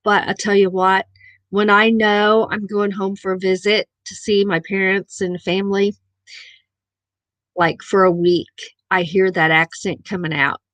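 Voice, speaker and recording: Livestream host: chatty woman with a southern Missouri drawl, speaks slowly, dry webcam sound. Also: medium pitch, level tone, a bit bright, no room sound. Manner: chatty, reads viewer chat aloud. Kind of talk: casual live chat.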